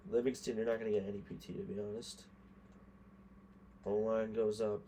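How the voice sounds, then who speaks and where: Hoarse low-voiced man talking gameplay. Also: level tone, a little fast, mellow, a little hiss or hum. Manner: thinks aloud, muttering verdicts on players. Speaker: gaming creator. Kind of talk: casual gameplay commentary.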